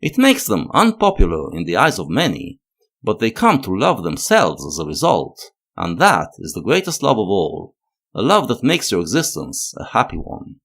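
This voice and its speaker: A man narrating a scripted analytical video essay in a measured, expressive way. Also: on the low side, creaky.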